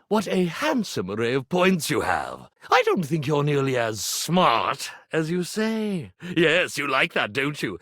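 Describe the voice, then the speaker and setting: A man's voice: fairly high pitch, fairly bright tone, no room sound, studio quality, exaggerated voice. A man voicing a vain, anxious iron who works as a stage magician in a video game, speaking in a pompous, theatrical showman's manner with grand flourishes. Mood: teasing, boastful. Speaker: magician and writer.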